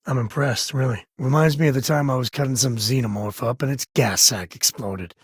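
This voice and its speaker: An actor playing a wry, good-hearted space explorer and devoted father in a video game, with a husky, rough-edged voice and a casual, folksy, dryly sarcastic way of talking. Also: mid-range voice, a little fast, dry recording, studio quality, lightly acted. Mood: dry, sardonic. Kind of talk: video game character voice lines.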